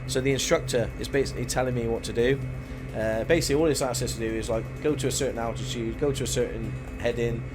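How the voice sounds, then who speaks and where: Halting, chatty man streaming a flight game. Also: mid-range voice, steady tone, music in the background. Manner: halting, chatty, thinking aloud. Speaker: live-stream host. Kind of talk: casual flight simulator stream commentary.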